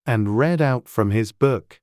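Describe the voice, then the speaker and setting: An audiobook narrator telling a dramatized storybook tale. The voice sits at a middling pitch, and the recording is close and dry, free of echo. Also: slow pace, darkish tone.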